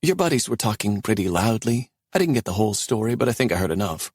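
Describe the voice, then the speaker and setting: A man's voice: on the low side, sing-song, fast, a little mellow, husky, no room sound, pitched above the performer's own voice, heavily acted. A man voicing a wry, guarded young college woman who teases dryly. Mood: pointed. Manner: dry teasing.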